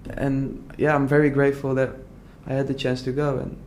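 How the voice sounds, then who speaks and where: A man's voice: mid-range voice, level tone, brisk, a little mellow, slight background noise. A young man from the Netherlands talking casually and earnestly in an interview, full of "like" fillers and restarts. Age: young adult. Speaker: entrepreneur guest.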